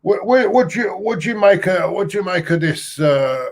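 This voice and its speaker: A man hosting a casual football chat show who reads out news items and puts questions to his guest, speaking haltingly with frequent fillers. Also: high voice, animated. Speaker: host.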